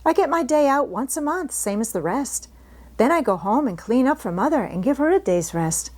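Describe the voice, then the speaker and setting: A woman's voice: sits high, animated, normal speed, darkish tone, voice pushed up. A woman voicing a good-tempered, outspoken young housemaid who rattles on in homely dialect for a storybook. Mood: stout, brisk. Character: good-tempered, chatty young Yorkshire housemaid, plain-spoken country girl.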